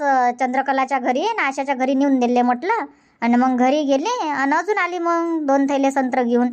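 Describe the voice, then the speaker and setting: A woman's voice: high voice, natural voice. A woman voicing a busy, chatty village auntie in a comedy cartoon, rambling in a folksy way with a Varhadi Marathi accent from Vidarbha.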